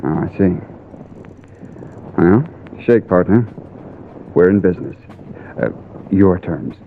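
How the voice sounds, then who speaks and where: An actor playing a sly, casual, money-hungry diver and business partner in a 1950s radio drama. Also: male voice, sits low, lively intonation, takes it slowly, vocal fry, exaggerated voice. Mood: eager, probing. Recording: tinny, noisy old radio recording.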